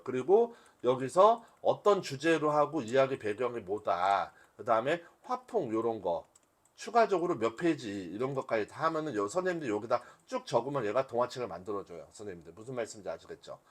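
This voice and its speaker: A man's voice: mid-range voice, big pitch swings, fairly quick. A man giving a live demonstration as a workshop instructor, talking conversationally and often posing questions that he answers himself. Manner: conversational teaching, asks and answers own questions. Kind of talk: live software demonstration to a class.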